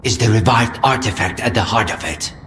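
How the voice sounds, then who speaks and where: An actor playing a polished, grandiose leader who speaks formally and persuasively in a video game cutscene. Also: male voice, lowish voice, brisk, a bit bright, slight background noise, close to an everyday voice. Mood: lofty, reassuring.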